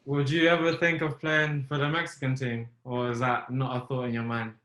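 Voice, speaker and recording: A man's voice: mid-range voice, flat delivery, a bit bright. A man hosts a podcast and asks questions in loose, casual slang, cheering his guest on. His voice is mid-range, and the room around him sounds noticeably echoey. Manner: casual and encouraging, quick "yeah, yeah" and slang like "bro". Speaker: podcast host, interviewer. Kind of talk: casual interview.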